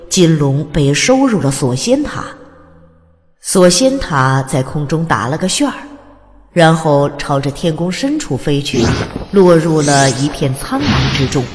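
A woman's voice: sits low, a little mellow. A woman reading scripted narration of a fantasy audiobook in a dramatic storytelling style. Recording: long hall reverb.

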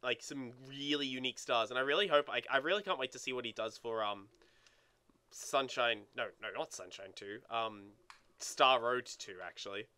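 A man co-hosting a let's play with casual, animated, sweary gaming commentary. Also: medium pitch, moderate pace, clean tone.

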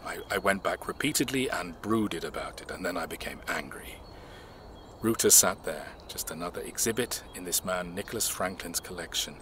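A man acting in an audio drama, playing a reserved, measured man who recounts his family's past in a reflective way. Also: low voice, sharp tone, slight background noise.

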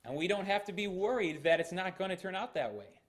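A man's voice: sits high, animated, brisk. Young man, American pastor, emphatic sermon delivery, small church, camera mic. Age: young adult. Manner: earnest, emphatic preaching with hand gestures. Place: small church sanctuary, indoors. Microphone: fixed camera at the front of the church.

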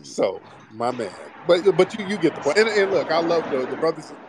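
A man's voice: a little high, sing-song, fairly quick, noisy background. A man hosting a live call-in stream, teasing and mocking his callers in loose, rambling chat. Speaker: livestream host taking callers.

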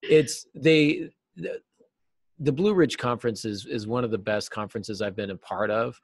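A middle-aged man giving conversational advice as an interview guest, often pausing mid-thought as he phrases things. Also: medium pitch, husky, dry recording. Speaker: guest, novelist and podcast host. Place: small cluttered office, indoors.

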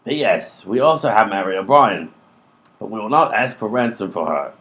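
A man's voice: on the low side, expressive, normal speed, a bit bright. A man playing a dim, easily exasperated robber sidekick in a comedy radio play, blunt and colloquial. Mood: weary, blunt. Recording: dull lo-fi audio, music behind.

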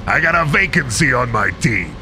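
A man voicing a swaggering, folksy Satan in a comic drama, with gravelly, cocky salesman patter. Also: mid-range voice, big pitch swings, normal speed, music in the background, natural voice. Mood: gleeful, scheming. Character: swaggering folksy Satan, gleeful demon derby fan. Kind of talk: scripted comic-book voice acting.

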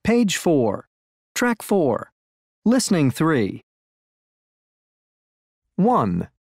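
A man reading scripted language-course lines with clear enunciation. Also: on the high side, huge pitch swings, very slow, a little mellow, dry recording, clean studio sound, full character voice. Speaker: announcer and dialogue actor.

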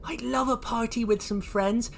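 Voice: medium pitch, steady tone, normal speed, gravelly, a little hiss or hum, pitched above the performer's own voice, lightly acted. A storyteller voicing an innocent, wistful young male sea creature with an earnest, childlike way of talking in a children's story. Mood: delighted.

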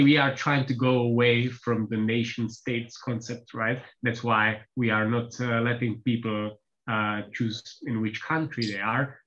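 A man whose first language is Czech, presenting software updates on an online team call, pausing often with fillers. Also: medium pitch. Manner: hesitant, many filler pauses. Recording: slightly dull lo-fi call audio.

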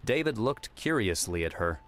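A man's voice: on the low side, very animated, normal speed, a bit bright. A man reading scripted fiction as an audiobook narrator.

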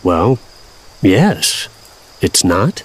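An actor playing a gentle, concerned middle-aged farmer father, hesitant and plain-spoken, in an audio drama. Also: low voice, very animated, drawn-out words, exaggerated voice. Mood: concerned, puzzled. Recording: music underneath.

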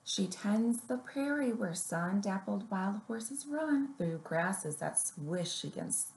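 A young woman leading a story time for small children, reading aloud and giving simple instructions in a measured, deliberate way. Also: mid-range voice, animated, a little mellow, slight background noise. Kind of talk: picture-book reading and finger plays for young children. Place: small room indoors at home or office. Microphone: webcam or laptop mic at arm's length. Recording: roomy, echoing webcam sound.

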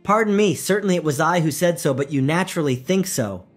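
A man voicing a sly male spy who speaks softly and flatteringly, trying to win confidence. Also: a little high, quick pace, faint music behind, pitched above the performer's own voice. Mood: ingratiating.

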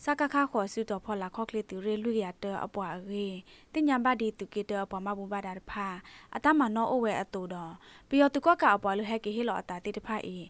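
A woman's voice: medium pitch, brisk, no room sound. A woman presenting the news, reading from a script as a radio newsreader.

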